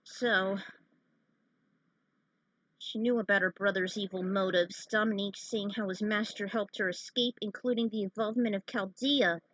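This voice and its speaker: A woman reading fan fiction aloud over gameplay, switching between halting narration and chatty asides. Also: mid-range voice, steady tone, a bit bright, no room sound, highs cut off. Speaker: fan fiction reader and game player.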